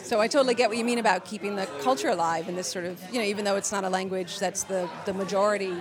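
A woman's voice: mid-range voice, steady tone, racing. An American woman hosting a travel show, chatting with curiosity and enthusiasm and asking lots of questions. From United States. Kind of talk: casual chat. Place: busy bar. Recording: loud bar music and crowd chatter. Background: music and crowd.

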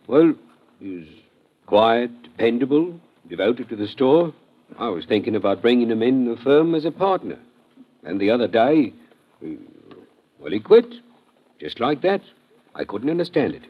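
Nervous formal shop owner, husky, voice goes up n down a lot, halting, muffled old radio. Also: male voice, mid-range voice, big pitch swings. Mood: hesitant, flustered. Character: flustered, overly earnest male curio shop owner. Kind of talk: old-time radio drama acting. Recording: muffled classic radio recording.

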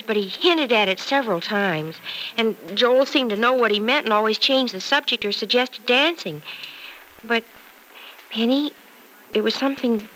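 A woman's voice: on the high side, a bit bright, lightly acted. An actress playing an earnest, love-struck, girlish young woman in an old-time radio drama, with a breathless, wistful delivery. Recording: noisy old radio recording.

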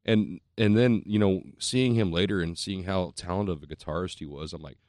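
A man's voice: low-pitched, moderate pace, a little mellow, no echo, studio quality. A man, a musician, telling a rambling, self-mocking story in casual chat, swearing and laughing easily.

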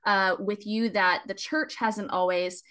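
A woman's voice: mid-range voice, fairly even intonation, a little slow, clean tone. Enthusiastic woman podcast host. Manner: warm, enthusiastic, affirming listener. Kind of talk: podcast interview and sponsor appeal.

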